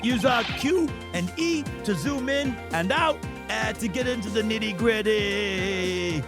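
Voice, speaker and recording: A man playing a loyal, bumbling game detective with music behind him. He talks slowly and hesitantly in a folksy, eager way, often stammering. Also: high voice. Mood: eager, chatty. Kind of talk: video game dub played live.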